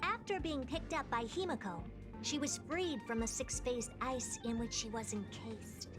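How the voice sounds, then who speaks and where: A woman's voice: a little high, normal speed, music in the background, close to an everyday voice. A woman playing a composed, cryptic seer who speaks formally, as in a video game. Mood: solemn. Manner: formal, declarative.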